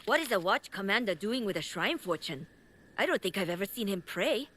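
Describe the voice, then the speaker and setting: A woman's voice: medium pitch, lively intonation, a little fast, a bit bright. A woman playing an exasperated, scornful wife who scolds about her gambling husband in a video game cutscene. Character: angry, exasperated wife of a gambling watch commander.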